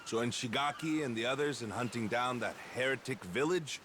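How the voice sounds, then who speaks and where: A man playing a measured, wistful treasure-hunting crewmate in a video game, polite and conversational. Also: medium pitch, noisy background, natural voice. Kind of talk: video game cutscene acting.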